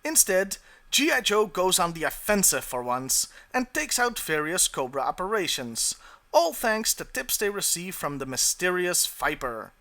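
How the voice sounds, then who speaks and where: A man's voice: high voice, very animated, a little slow, pushed and bright. A man narrating a scripted countdown review as the host.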